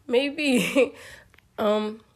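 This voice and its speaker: Nineteen-year-old woman with a cold-roughened voice. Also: a little high, very slow. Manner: rambling, many ums and you-knows, giggly. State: hoarse from a cold. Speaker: podcast host. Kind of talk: casual solo podcast talk.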